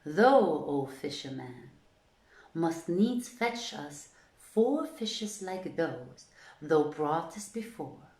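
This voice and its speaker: A woman's voice: deep voice, sing-song, takes it slowly, darkish tone, reverberant, full character voice. A woman voicing a commanding male vizier in a storybook, giving formal, archaic orders. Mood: imperious. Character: commanding male vizier, imperious royal official.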